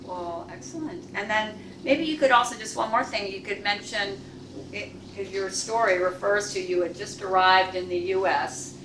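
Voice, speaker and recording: A woman's voice: medium pitch, normal speed. A woman in her sixties or older, hosting a club meeting and asking chatty, joking questions. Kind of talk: casual onstage interview. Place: meeting room. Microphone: far camera. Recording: echoey room, far off, background noise.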